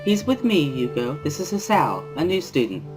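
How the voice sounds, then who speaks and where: Somebody doing a polite caring schoolgirl, gentle n formal, pitched way up, music playing. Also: sing-song, normal speed, natural voice. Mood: composed, polite.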